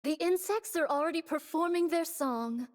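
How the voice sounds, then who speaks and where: A woman's voice: extremely high pitch, moderate pace, big-room sound. An actress playing a noble woman warrior who speaks in old-fashioned, courtly language.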